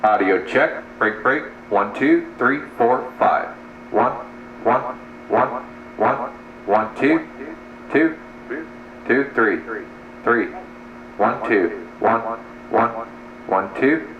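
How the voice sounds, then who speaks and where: A man's voice: mid-range voice, extremely slow, long pauses. A man heard through a CB radio speaker, making test calls and counting for an audio check. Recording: dull, echoey radio audio with faint noise.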